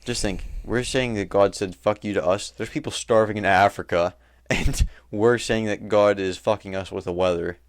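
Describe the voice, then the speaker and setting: A man's voice: lowish voice, fairly even intonation, normal speed, hardly any pauses, no room sound, studio quality. A young man co-hosting a podcast in casual chat, speaking in slang with some swearing. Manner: slangy, swearing, brief replies. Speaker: podcast co-host.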